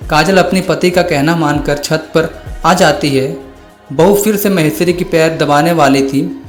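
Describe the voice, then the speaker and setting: A man's voice: a little high, unhurried, soft background music. A man narrating a scripted horror story as its storyteller.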